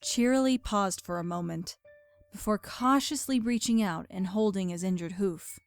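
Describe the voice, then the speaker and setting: A woman's voice: medium pitch, normal speed, vocal fry, faint music behind. A woman reading scripted story narration as the narrator of an audio drama.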